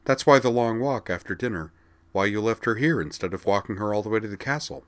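A man's voice: fairly low pitch, fast, voice pushed up, natural voice. A man voicing a lovesick young Valkyrie girl who pleads and bursts into tears. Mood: hopeful. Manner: pleading, rising to tearful outbursts.

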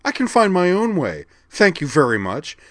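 A man's voice: mid-range voice, moderate pace, a bit bright, no room sound, studio quality, voice pushed up, natural voice. A man reading the part of an outraged young alien woman student, snappish and indignant.

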